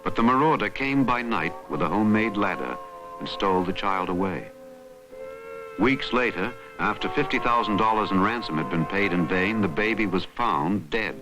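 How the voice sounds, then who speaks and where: A man delivering scripted, measured and dramatic narration for a documentary. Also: lowish voice, lively intonation, poor audio. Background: music bed.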